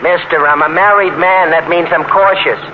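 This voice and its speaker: An actor playing a wisecracking, cautious cab driver with slangy working-class diction, in comic 1940s radio drama style. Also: male voice, fairly high pitch, fairly even intonation, normal speed, lightly acted. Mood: joking. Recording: noisy, tinny old 1940s radio recording.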